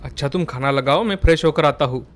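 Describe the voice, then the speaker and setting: A man playing a stern but reasonable young husband and son in an animated family story, plain and admonishing. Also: fairly high pitch, fast talker, natural voice. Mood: matter-of-fact. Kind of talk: animated Hindi moral story dialogue.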